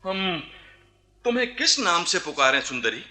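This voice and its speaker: A proud young Nawab in a radio play. His high voice is piercingly bright and swoops widely. He declaims grandly and takes his time over every phrase. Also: big pitch swings, unhurried, exaggerated voice. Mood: commanding. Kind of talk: theatrical Hindi radio play acting.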